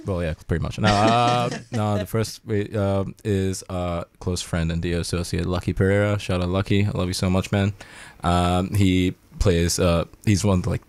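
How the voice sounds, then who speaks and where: A young man from Sri Lanka talks about his band in a relaxed, slangy way and swears freely. His voice sits very low with a gravelly fry. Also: normal speed, few pauses. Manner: laid-back, swears a lot, slangy. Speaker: band singer. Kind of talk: casual interview chat.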